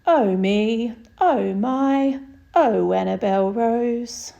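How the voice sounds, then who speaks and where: A woman's voice: a little high, extremely slow, vocal fry, mellow, lo-fi sound, exaggerated voice. A woman voicing a puzzled, good-humoured mother in a children's storybook, exclaiming fondly. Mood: amused fondness.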